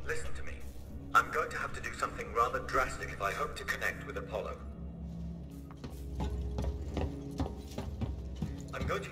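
An actor voicing a polite, earnest male android in a sci-fi video game, with formal, precise and measured wording. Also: mid-range voice, lightly acted. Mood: grave, resolute. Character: polite, earnest, self-sacrificing male android aboard a space station. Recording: echoey, distant, under loud music.

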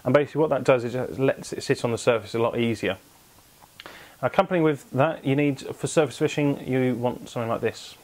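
A man's voice: medium pitch, fairly quick, a little mellow. A young man in his twenties, host of an angling channel, explaining tackle to camera from a small home office with lots of fillers and self-corrections.

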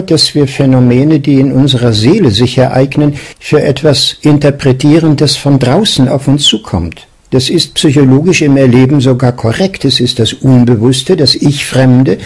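Raspy mid-pitched guy, academic expert talk, formal and deliberate. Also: a little mellow. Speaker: expert commentator. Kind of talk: academic explanation.

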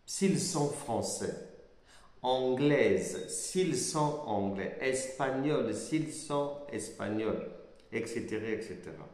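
A middle-aged man giving a sermon-style Bible lesson, speaking slowly and emphatically, repeating his key phrases, recorded at a desk webcam in a small indoor room. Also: medium pitch. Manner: slow, emphatic, repeats phrases, animated hand gestures. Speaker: pastor teaching a Bible course. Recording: echoey room with long reverb.

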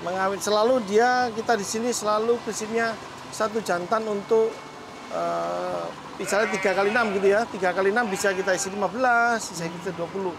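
High-voiced man touring his goat farm. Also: brisk, vocal fry, noisy background. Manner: relaxed, matter-of-fact, chatty. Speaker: goat farm owner giving a tour. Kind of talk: casual explanatory tour talk. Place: outdoor open-sided livestock barns. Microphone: lapel mic. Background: background music.

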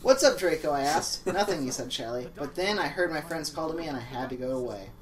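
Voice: medium pitch, animated, quick pace, recorded from a distance. A let's play co-host reading fan fiction aloud in a mock-dramatic, sarcastic way, with joking asides. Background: game music.

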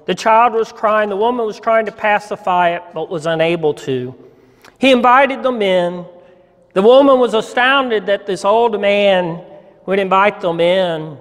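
A man in his sixties, a pastor giving a dramatic story reading in a measured, deliberate way with pauses. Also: high voice. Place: church sanctuary, standing at a lectern. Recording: reverberant church hall.

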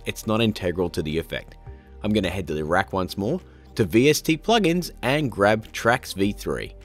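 A man in his thirties hosting a step-by-step video tutorial in an upbeat, chatty, informal way, talking straight to viewers. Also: medium pitch. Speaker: host, video tutorial presenter.

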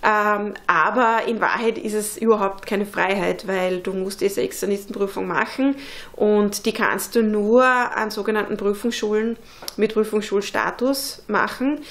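A woman's voice: mid-range voice, fairly even intonation, a little slow. Earnest mother in a workshop. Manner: earnest, thoughtful, many fillers. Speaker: interviewee, unschooling mother. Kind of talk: casual interview talk. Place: indoor pottery workshop.

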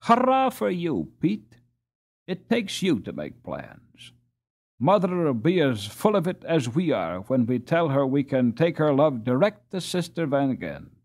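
Man playing a lively, boyish younger brother. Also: on the high side, a little slow, pitched above the performer's own voice, full character voice. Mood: admiring. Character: admiring younger brother, enthusiastic boy. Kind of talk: children's storybook character acting.

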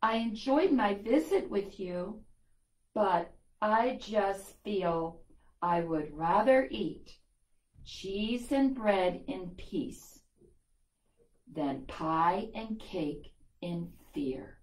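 A woman's voice: medium pitch, expressive, very slow, distant mic. A woman voicing a meek, homesick little female country mouse in a children's story. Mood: plaintive, homesick. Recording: echoey classroom.

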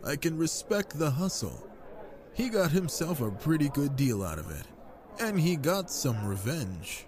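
Man acting flirtatious wine god, genial, smooth-talking, expressive swooping delivery, intimate audio drama. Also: fairly high pitch, measured pace, a faint crowd. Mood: gossipy, amused.

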